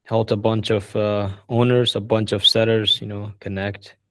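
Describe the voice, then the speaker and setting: A man hosting a casual group video call, relaxed and hesitant, often restarting his sentences. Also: on the low side, moderate pace, no room sound, clean studio sound. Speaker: call host answering members' questions.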